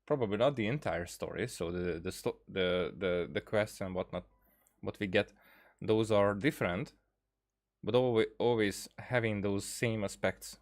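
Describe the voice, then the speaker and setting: A man with a lowish voice comments casually on a game. He thinks aloud in a rambling, halting way, often doubling back on his words. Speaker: gaming video creator.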